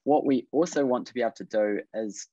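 A man's voice: mid-range voice, brisk, mellow, dry recording. Upbeat Kiwi man in his thirties, coaching host on a dull, dry video call. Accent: New Zealand. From New Zealand. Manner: upbeat and encouraging, many fillers and restarts. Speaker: business coach, webinar host. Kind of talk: casual webinar presentation. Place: indoor room on video call.

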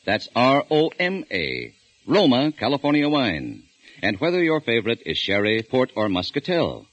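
A man's voice: medium pitch, no room sound, dull audio. A man reading a sponsor commercial in polished 1940s radio announcer style, on an old broadcast recording. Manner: smooth, persuasive, polished.

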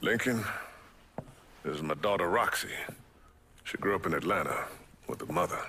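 A man's voice: low-pitched, expressive, normal speed, pushed and bright, slight background noise. A man playing a grave, burdened middle-aged American civil rights leader in a video game, speaking emphatically. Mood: grave, concerned. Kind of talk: video game cutscene acting.

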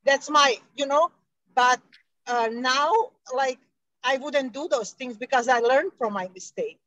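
A woman's voice: high voice, measured pace, fairly bright tone, muffled recording. A woman calling in to a talk show, speaking hesitantly with many restarts. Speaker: caller. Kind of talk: casual call-in talk.

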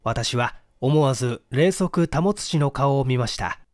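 A man narrating a mystery story in a measured, formal, dramatic storytelling manner, close on the mic. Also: medium pitch, darkish tone, no echo. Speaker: audiobook reader, stage actor. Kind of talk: scripted mystery story narration, literary reading.

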